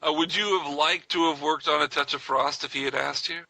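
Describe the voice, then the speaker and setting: A man's voice: a little high, fairly even intonation, brisk, gravelly, sharp tone, low-quality recording. A man doing a casual radio interview in an eager, chatty manner.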